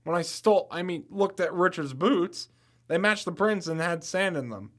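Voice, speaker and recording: Male actor as young amateur detective, slightly high voice, matter-of-fact, wry, punning, audio drama. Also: lively intonation, normal speed, a bit bright.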